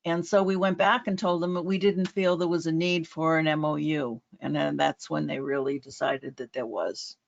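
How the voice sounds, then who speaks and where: A woman with an American accent giving an informal report in an online meeting, halting and plain-spoken. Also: low voice, fairly even intonation, creaky. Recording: dull, lo-fi meeting call audio.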